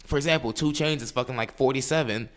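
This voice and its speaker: A man in his late twenties, talking quickly and freely on a podcast, dropping curse words and acting out his inner voice. His pitch sits in an ordinary middle range. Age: late 20s. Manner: fast, animated, swears freely, acts out his own thoughts. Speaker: podcast co-host. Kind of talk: casual chat. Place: New York City.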